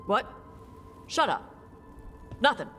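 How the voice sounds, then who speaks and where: A woman playing a blunt, brash young warrior with fire magic, talking gruffly and casually in a video game cutscene. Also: a little high, big pitch swings, takes it slowly, sharp tone, faint music behind, exaggerated voice. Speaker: party companion.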